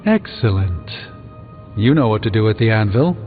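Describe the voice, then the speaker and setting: An actor playing a learned, dry-witted sorcerer superhero in a video game, speaking in a measured, articulate way. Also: male voice, on the low side, big pitch swings. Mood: measured, wry. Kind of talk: video game dialogue acting. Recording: muffled thin band, reverberant, music behind.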